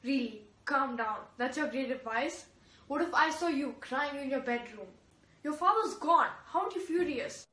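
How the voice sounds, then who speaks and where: A woman's voice: high-pitched, expressive, normal speed, fairly bright tone. A woman voicing a furious goat owner who rants in a sarcastic, indignant way. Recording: echoey room, voice far from mic.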